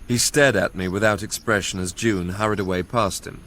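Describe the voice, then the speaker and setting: An actor playing a young Englishman who recounts his past in a measured, literary way for a radio drama. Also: low voice, steady tone, a bit bright, a little hiss or hum. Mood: tense, bitter. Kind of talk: radio drama first-person narration.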